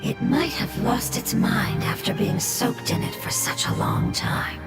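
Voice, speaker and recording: Creaky, echoing, solemn otherworldly being. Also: female voice, medium pitch, huge pitch swings, exaggerated voice. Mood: solemn, cautious. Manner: measured, formal. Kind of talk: scripted video game voice acting. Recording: echoing, under loud music. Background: game music.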